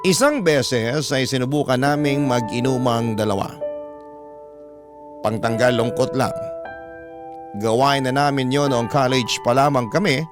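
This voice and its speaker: A man performs as a radio storyteller recounting a personal tale in scripted narration. His voice sits at a middle pitch, with music clearly playing behind it. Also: unhurried, long pauses, natural voice. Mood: reflective. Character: narrator recalling drinking with an old college friend.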